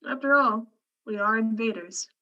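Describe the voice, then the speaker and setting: A woman playing a fatalistic, cerebral male scientist in a science fiction radio play, speaking in a measured, wry way. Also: a little high, pushed and bright, highs cut off. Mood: musing.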